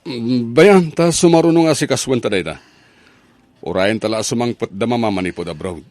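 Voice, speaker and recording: A man's voice: medium pitch, moderate pace, a little mellow, no room sound, close to an everyday voice. An actor as a mild, easygoing young husband in a radio drama. He speaks in an understated, soothing and placating way. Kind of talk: Ilocano radio drama acting.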